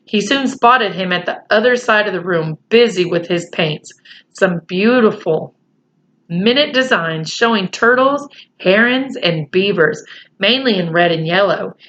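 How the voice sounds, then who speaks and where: A woman reading a children's book aloud with chatty, expressive asides to young listeners. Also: mid-range voice, measured pace. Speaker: storyteller, teacher. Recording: slightly muffled home recording.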